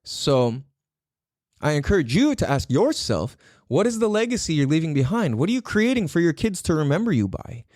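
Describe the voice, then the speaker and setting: A man's voice: a little high, sing-song, normal speed, darkish tone, no echo, clean studio sound. A man hosting his own podcast in a casual solo talk, swearing freely, laughing often and repeating words for emphasis. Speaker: podcast host.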